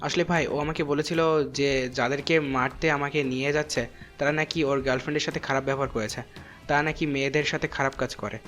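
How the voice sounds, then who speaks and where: A man's voice: mid-range voice, steady tone, brisk, a bit bright, faint music behind, natural voice. A man playing a street-tough gangster in an audio story, speaking informally. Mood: humbled, apologetic. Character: swaggering male gangster, loyal to his boss.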